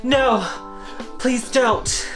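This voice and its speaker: Woman doing a shy young priest's daughter, raised and breathy, timid, pleading, soft guitar behind. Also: a little high, extremely slow, pitched above the performer's own voice.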